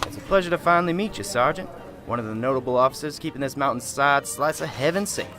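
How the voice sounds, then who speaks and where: A man's voice: mid-range voice, quick pace, music in the background, natural voice. An actor playing a talkative, affable male small-town pastor in an amateur radio drama. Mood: genial. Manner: effusive, self-deprecating.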